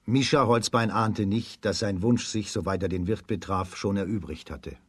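A man giving measured, scripted storytelling narration for a children's radio drama. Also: on the low side, breathy edge, no echo, clean studio sound.

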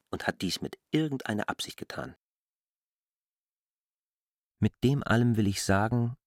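An actor playing an earnest, argumentative stranger in a literary radio drama, reasoning insistently in long, deliberate clauses. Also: male voice, lowish voice, no room sound, clean studio sound.

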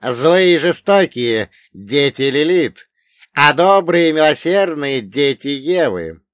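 A man voicing a wise, centuries-old dead grandfather in an audiobook, telling old lore in an emphatic, drawn-out, lecturing way. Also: fairly high pitch, animated, no room sound, clean studio sound, pitched above the performer's own voice. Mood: grave, emphatic. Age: older. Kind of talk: audiobook character voice.

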